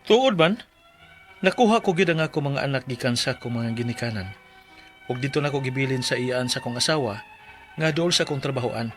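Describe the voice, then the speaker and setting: A man's voice: medium pitch, fairly bright tone, close to an everyday voice. A man acting a humble, proud widowed father of five in a Bisaya radio drama, speaking in a plaintive, earnest way with a Filipino Bisaya accent. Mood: grateful narrating.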